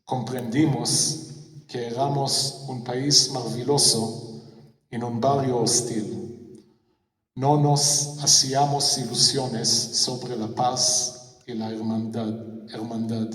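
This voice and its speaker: A man who speaks first delivers a memorial address in careful, halting Spanish, going very slowly and restarting on hard words. He is heard from a distance in a large concert hall with a long, roomy echo. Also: medium pitch, fairly bright tone. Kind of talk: formal speech read in non-native Spanish.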